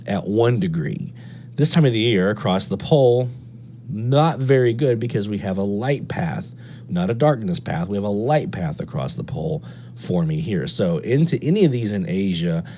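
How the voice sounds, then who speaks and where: An American man hosting a radio hobby show, giving unscripted explanatory commentary in a methodical way, repeating key points for emphasis. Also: low-pitched. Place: indoor room at a radio desk. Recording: steady background noise and hum. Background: hum and noise.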